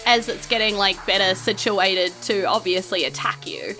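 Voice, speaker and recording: A woman's voice: mid-range voice, steady tone, normal speed, sharp tone, faint music behind, rough recording. A woman with a New Zealand accent running a tabletop game, narrating informally and chatting in a giggly way. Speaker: game master.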